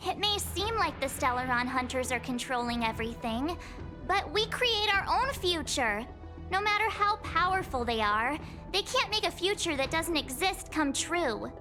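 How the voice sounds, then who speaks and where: A woman's voice: high voice, animated, normal speed. A woman playing a spirited, cheerful, optimistic young girl adventurer in a video game, talking in an informal, emphatic way. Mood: defiant, upbeat. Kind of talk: English video game voice acting. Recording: game music behind the voice. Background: game music.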